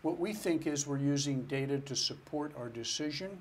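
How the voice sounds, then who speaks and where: A man's voice: on the high side, normal speed, a little hiss or hum. A man who is a financial planner, answering interview questions in an earnest, step-by-step way. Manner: earnest, explains step by step with restarts.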